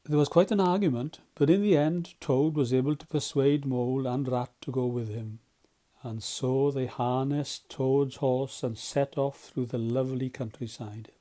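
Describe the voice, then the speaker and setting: Man, storybook narration, medium pitch, relaxed mellow timbre, children's storyteller. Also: normal speed. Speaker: volunteer storyteller.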